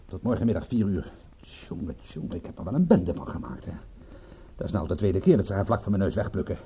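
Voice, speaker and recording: A man's voice: sits low, very fast, mellow, slight background noise, close to an everyday voice. A man playing an agitated, self-doubting but dogged private detective in a Dutch radio play. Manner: stammering, restless, self-reproaching. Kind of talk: Dutch radio play dialogue and first-person narration.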